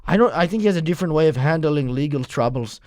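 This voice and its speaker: A young man with a notably high voice, interviewing with deadpan, mocking jabs and mock-serious questions. The sound is close and dry, with no room around it. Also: quick pace, dry recording. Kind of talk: comedic confrontational interview.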